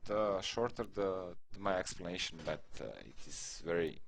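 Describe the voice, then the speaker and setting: A man's voice: deep voice, normal speed, slight background noise. A man from Croatia with a Croatian accent, English not his first language, talking through a game tutorial with many fillers and restarts. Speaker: gaming tutorial creator.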